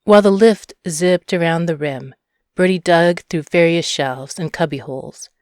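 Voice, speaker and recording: A woman's voice: on the low side, moderate pace, darkish tone, no room sound, clean studio sound. A woman narrating a short story from a script. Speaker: audiobook narrator.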